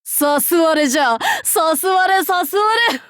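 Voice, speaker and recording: A woman's voice: very high-pitched, unhurried, no room sound, studio quality. A woman voicing an imperious, haughty demon-king warlord in a mobile game, speaking in pompous, archaic lordly Japanese phrasing. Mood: gleeful, haughty.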